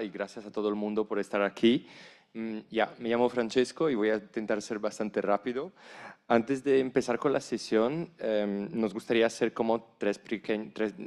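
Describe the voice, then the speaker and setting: Earnest, lower-voiced man on a panel. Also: a little mellow. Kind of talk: conference presentation. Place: conference room on stage. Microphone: handheld mic.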